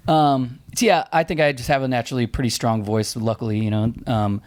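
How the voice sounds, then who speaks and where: A man's voice: medium pitch, fairly even intonation, fairly quick, darkish tone, dry recording. A male band vocalist in a casual podcast chat, laid-back and blunt, cursing freely.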